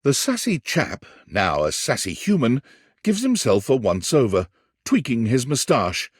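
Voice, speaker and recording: Wry theatrical game narrator guy, super lively delivery, mid pitch, dry studio sound. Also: measured pace, slightly rough, no room sound. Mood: mock-solemn. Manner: arch, florid, theatrical. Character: wry, dramatic male storyteller narrating a cheeky dating game. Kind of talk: scripted narration.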